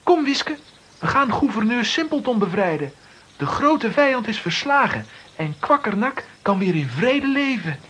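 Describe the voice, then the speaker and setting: A woman's voice: a little high, big pitch swings, measured pace, a bit bright, breathy edge, heavily acted. A woman playing an earnest, brave young boy with a Flemish accent in a children's audio drama. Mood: triumphant.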